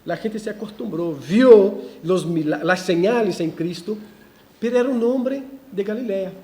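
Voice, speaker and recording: A pastor delivers a verse-by-verse sermon in a church, his voice sitting high for a man yet mellow in tone. He preaches in animated swells, breaking into restarts and ironic asides. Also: a little slow. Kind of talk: expository sermon.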